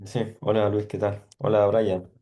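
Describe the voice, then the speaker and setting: A man with a slightly low voice. He speaks as a professor at a research seminar, posing questions tentatively and rephrasing them along the way. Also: little pitch movement, unhurried, muffled recording. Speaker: university professor asking questions.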